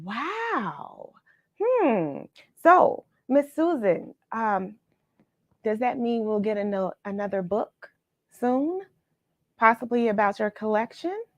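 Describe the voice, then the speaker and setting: A woman hosting a read-along, speaking in a measured, expressive storyteller way as she reads and chats to her audience. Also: a little high, no room sound, dull audio. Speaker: host of a read-along show. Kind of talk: expressive storybook reading and friendly live chat with viewers.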